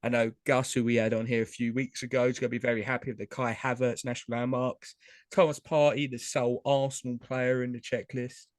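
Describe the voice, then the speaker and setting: A man's voice: mid-range voice, level tone, brisk, no room sound. A man co-hosting a podcast, chatting casually and running through long lists of names with lots of fillers. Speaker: podcast co-host. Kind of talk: casual chat about trading cards.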